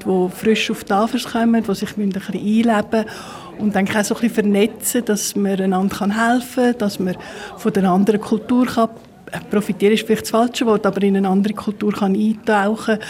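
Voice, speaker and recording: A woman's voice: mid-range voice, a little mellow. A woman with a Swiss German accent, a community organizer speaking spontaneously and informally in an interview, often rephrasing herself.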